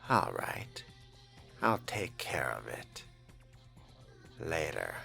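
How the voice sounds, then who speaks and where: A man's voice: very deep voice, sing-song, extremely slow, vocal fry, exaggerated voice. A man voicing a suave, sardonic young vampire in character during a video game, courtly and wry. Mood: courteous, dry. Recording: loud music behind the voice.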